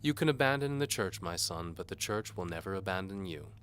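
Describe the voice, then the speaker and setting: A man's voice: sits low, expressive, fairly quick, fairly bright tone, slight background noise, pitched above the performer's own voice. A man playing a zealous, pompous older medieval bishop who speaks in a didactic, commanding way in an audio drama. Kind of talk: audio drama character acting.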